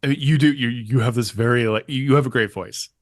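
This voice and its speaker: A middle-aged man with a deep voice he habitually lifts to a middle pitch talks in a loose, rambling stream, often cutting himself off. The sound is dry and close. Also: brisk, no room sound. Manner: rambling, animated, self-interrupting. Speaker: channel host and former game company staffer. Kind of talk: casual interview chat.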